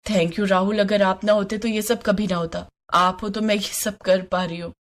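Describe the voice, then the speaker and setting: A woman's voice: medium pitch, level tone, moderate pace, close to an everyday voice. A woman voicing a polite young newlywed daughter-in-law in a family story, earnest and pleading. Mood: polite, sweet. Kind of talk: story character voice.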